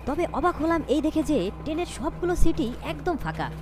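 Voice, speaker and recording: A woman's voice: high voice, big pitch swings, normal speed, over music. A woman voicing a young, anxious storyteller in a cartoon ghost story, dramatic, wondering and fearful. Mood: wondering, uneasy. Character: young, anxious storyteller caught in eerie events. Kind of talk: scripted cartoon ghost-story narration and dialogue.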